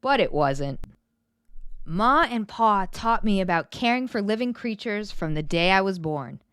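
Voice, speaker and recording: A woman narrating a children's picture book in an expressive read-aloud storytelling style. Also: mid-range voice, unhurried, clear, resonant tone, no room sound, studio quality.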